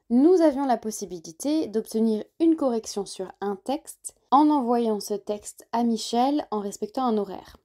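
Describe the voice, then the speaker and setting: French-speaking woman thinking aloud, mellow voice. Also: on the high side. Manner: thinking aloud, many fillers and restarts. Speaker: podcast host. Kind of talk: solo podcast monologue.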